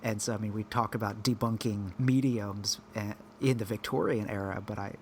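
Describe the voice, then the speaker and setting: Podcast guy, lowish voice, rambly, keeps restarting, bit of faint noise behind. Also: fairly even intonation, moderate pace. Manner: rambling, self-correcting, thinking aloud. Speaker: podcast co-host. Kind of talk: casual podcast discussion.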